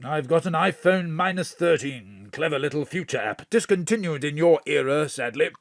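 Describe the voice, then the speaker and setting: An actor playing a genial, self-satisfied Victorian author in an audio drama, with a grand, whimsical delivery. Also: male voice, fairly high pitch, expressive, normal speed, gravelly, no echo, studio quality. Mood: boastful, playful.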